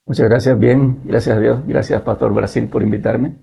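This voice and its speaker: A man in his fifties from Nicaragua, a pastor and missionary, telling his life story in a measured way and often adding "¿verdad?". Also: mid-range voice, fairly even intonation, vocal fry, mellow, husky. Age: 50s. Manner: measured, adds "¿verdad?" tags. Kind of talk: relaxed testimony in conversation.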